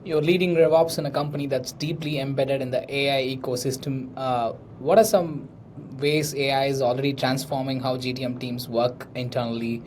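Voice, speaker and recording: Male host for business interview, average register, posing questions, light rumbling noise. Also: steady tone, brisk, darkish tone. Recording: noisy with low background rumble.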